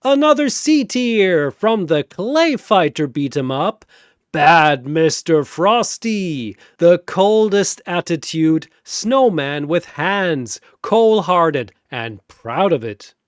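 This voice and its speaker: A man voicing a flamboyant, grandiose four-armed arena master of ceremonies in a fantasy audio show, announcing with drawn-out showman's emphasis. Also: fairly high pitch, big pitch swings, clean tone, no room sound, studio quality. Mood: hyped announcing.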